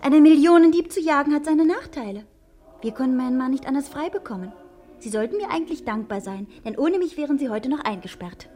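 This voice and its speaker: An actress playing a self-assured, determined wife in a crime serial, brisk, sharp-tongued and teasing. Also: female voice, high-pitched, expressive. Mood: cool, pointed. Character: self-assured, determined wife hunting her fugitive husband.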